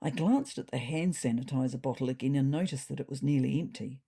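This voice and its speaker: A woman's voice: extremely low pitch, a little fast, gravelly, dark tone, slightly rough. A woman with a New Zealand accent reading scripted comic first-person narration as a podcast host, in a wry, dry-humoured way.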